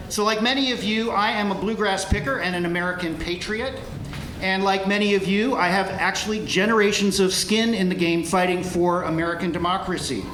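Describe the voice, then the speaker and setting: Man, middle-aged, high-pitched, earnest and grateful, live speech, echoey hall, light crowd noise. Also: a bit bright. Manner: earnest, grateful, rallying, with fillers. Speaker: volunteer speaker at a fundraiser concert. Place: large room with live audience. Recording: echoey room, crowd murmur.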